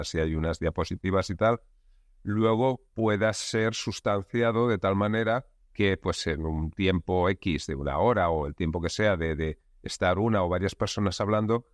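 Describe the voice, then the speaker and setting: A low-pitched man answers interview questions. He explains steadily and leans on fillers as he builds his points. The recording is dry and close-miked. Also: no echo. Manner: measured, explanatory, leans on filler words like digamos. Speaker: guest expert. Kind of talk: conversational interview.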